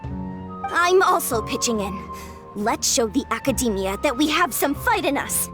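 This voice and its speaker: A woman's voice: high voice, very animated, measured pace. An actress playing a spirited, defiant young woman in a game dub. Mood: determined. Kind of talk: English game dub acting. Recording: music behind the voice.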